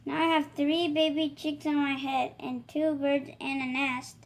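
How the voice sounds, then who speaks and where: A woman's voice: high voice, level tone, measured pace, slight background noise. A woman voicing an anxious, exasperated elephant from a children's picture book, questioning everything in disbelief. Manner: questioning, incredulous.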